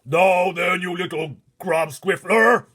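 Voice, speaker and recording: A huge man-eating giant from a storybook, roars with a booming, pushed-up voice. He is a menacing bully who drags out his taunts at full shout. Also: husky, exaggerated voice. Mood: taunting, menacing. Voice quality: booming, roaring. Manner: shouted, drawn-out taunting delivery.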